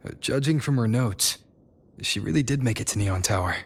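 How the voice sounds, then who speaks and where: A man's voice: medium pitch, animated, a little fast, breathy edge. An actor playing a thoughtful young male traveler in a video game, phrasing things a little tentatively. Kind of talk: video game cutscene voice acting.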